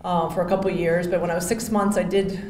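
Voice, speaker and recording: A woman in her forties stands at a podium microphone and delivers an acceptance speech. She talks in a chatty way, with fillers and restarts. Her slightly low voice carries through a reverberant hotel ballroom over a faint hum. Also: level tone, quick pace. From Miami, Florida. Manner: chatty, warm thank-yous with many fillers and restarts. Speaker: hall of fame inductee.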